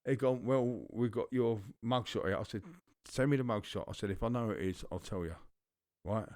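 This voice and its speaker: A man's voice: on the low side, moderate pace, a little mellow, dry recording, studio quality. Sweary London man telling stories. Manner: swearing, rambling anecdotal storytelling. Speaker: podcast co-host. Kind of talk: casual storytelling chat.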